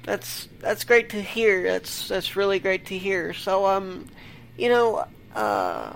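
A man's voice: high voice, sing-song, slow pace, gravelly, a bit bright, slight background noise. A man hosting his own interview show, talking loosely and rambling with fillers. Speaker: podcast host. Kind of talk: casual interview. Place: home desktop recording setup.

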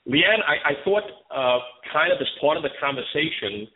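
A man's voice: on the high side, animated, moderate pace. A man hosting a talk show and interviewing a guest, heard over a tinny, phone-like line. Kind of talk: conversational interviewing with scripted show introduction.

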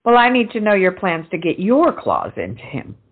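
An actress playing a bawdy, teasing young confidante with a knowing manner in a stage comedy. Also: female voice, mid-range voice, huge pitch swings, normal speed, breathy edge, narrow, tinny audio. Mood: sly teasing. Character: Elizabeth, cheeky, bawdy young lady confidante.

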